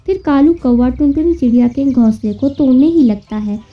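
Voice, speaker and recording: A woman's voice: sits high, moderate pace. A woman narrating a children's cartoon story as a scripted storyteller. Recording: muffled, distant-sounding, music behind.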